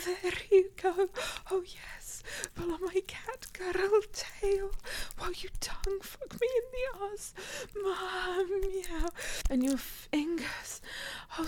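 A woman voicing a playful young catgirl who teases in breathy whispers, meows and purring growls. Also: very high voice, slight background noise, full character voice. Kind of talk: solo audio roleplay.

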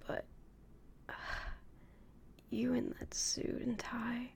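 A young woman whispering slowly and intimately as a doting, possessive girlfriend in an audio roleplay. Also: fairly high pitch, gravelly, slight background noise. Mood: teasing. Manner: soft whispering, slow and intimate.

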